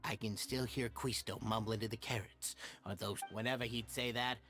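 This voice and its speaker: An actor playing an easygoing, talkative male prison inmate in an anime-style game, casual and animated. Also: fairly low pitch, normal speed, faint music behind, close to an everyday voice. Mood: placating.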